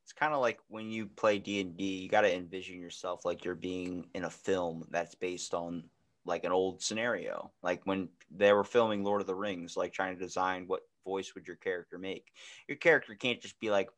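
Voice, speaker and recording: A man in his twenties chatting casually as a podcast host, telling stories in an animated, slangy way. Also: low voice, normal speed. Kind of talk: casual chat.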